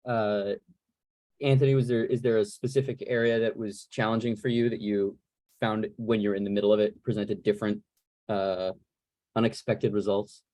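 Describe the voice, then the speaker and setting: Lowish, dry-sounding male moderator. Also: level tone, normal speed, darkish tone, no room sound. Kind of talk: casual interview moderation. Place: video call.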